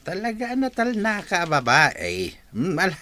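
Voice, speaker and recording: Genial Ilocano uncle, older male actor, radio comedy: bright, punchy low voice, very animated. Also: measured pace, no echo, exaggerated voice. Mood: teasing, jovial. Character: genial older village uncle who owns a garden.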